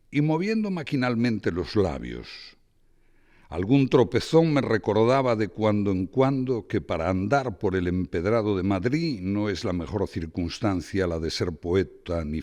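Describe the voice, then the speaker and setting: A man giving a theatrical reading of a satirical essay, with expressive, dramatic phrasing and ironic asides. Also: on the low side. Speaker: reader of a literary essay.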